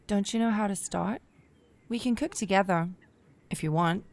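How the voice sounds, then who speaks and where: A woman playing a gentle, supportive middle-aged mother, speaking tenderly and reassuringly in an audio drama. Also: medium pitch, normal speed, vocal fry, lightly acted.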